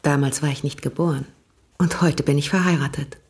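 A young married woman in a radio drama, with a fairly low voice. She is sober-minded and candid, speaking in a measured, direct way. A quiet irony and gentle skepticism run through her delivery. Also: steady tone. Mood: dryly skeptical. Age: young adult. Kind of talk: radio play acting.

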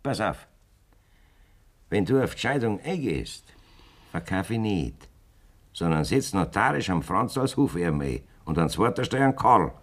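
An actor playing a blunt, scheming middle-aged farmer with a Bavarian accent in a German radio play. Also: male voice, lowish voice, very animated, a little slow, long pauses, husky, heavily acted. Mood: calculating, boastful. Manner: blunt, domineering. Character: hard, scheming farmer demanding a divorce from his wife.

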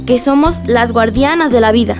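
A woman as an eager high-voiced Mexican girl. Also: moderate pace, over music, natural voice. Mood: earnest. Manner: earnest, affectionate. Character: eager, affectionate ten-year-old girl who wants to be a healer. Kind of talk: radio drama acting.

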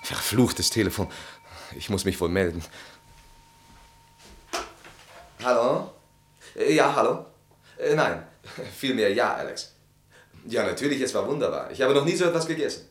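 An actor in a German radio drama playing a harried, evasive husband, hesitant and flustered. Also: male voice, mid-range voice, lively intonation, moderate pace, natural voice. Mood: flustered, polite. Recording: roomy studio radio play sound.

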